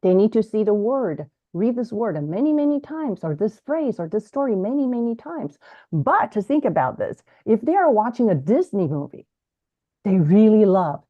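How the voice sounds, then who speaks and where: Woman, Chinese native, educator guest; lively, explanatory, self-correcting delivery; dry, slightly muffled call sound. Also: medium pitch, normal speed, no room sound. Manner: animated, self-correcting, teacherly. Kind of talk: explanatory interview talk. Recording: dry, slightly dull lo-fi audio.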